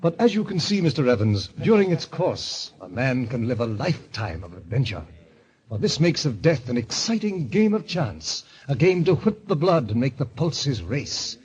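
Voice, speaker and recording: An actor playing a suave, sinister middle-aged club president in old radio style, with pompous, florid, genteel phrasing. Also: male voice, medium pitch, sing-song, moderate pace, a little mellow, breathy edge. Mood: grandiose, solemn. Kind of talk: 1940s radio acting. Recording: dull, lo-fi vintage radio recording.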